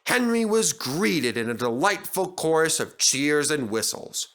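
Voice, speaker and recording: Slow, expressive man narrating a story. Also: on the high side. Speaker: narrator, reader. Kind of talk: scripted children's story narration.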